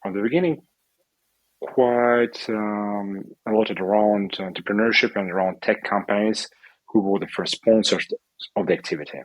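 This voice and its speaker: Low-voiced man from Ukraine, dry sound. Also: fairly even intonation, moderate pace, dry recording, highs cut off. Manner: thoughtful, searches for words in English. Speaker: guest. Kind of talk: podcast interview.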